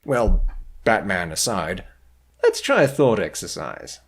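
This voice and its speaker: A man's voice: low-pitched, wildly swooping pitch, slow. A man narrating a scripted video essay, with theatrical, sardonic emphasis.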